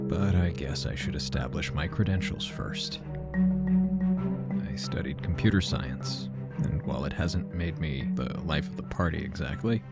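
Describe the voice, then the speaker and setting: A man's voice: a bass voice, exaggerated voice. A man playing a wry, self-deprecating computer scientist, giving a dry, confessional monologue in an audio drama. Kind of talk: first-person fiction podcast monologue.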